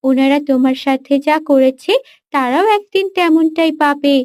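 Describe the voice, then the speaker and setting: A woman's voice: sits high, moderate pace, natural voice. A woman voicing an earnest, caring young granddaughter in a children's cartoon. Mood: earnest, consoling.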